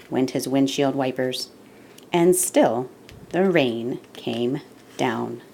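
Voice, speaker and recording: A woman reading a children's picture book aloud with deliberate, expressive emphasis, as the storyteller and host. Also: very deep voice, a little hiss or hum.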